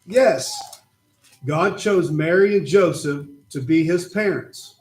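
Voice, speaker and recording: Man, high voice, patient children's Bible storyteller opposite a puppet. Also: slow pace. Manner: patient, gently explaining. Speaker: puppet show presenter. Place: church.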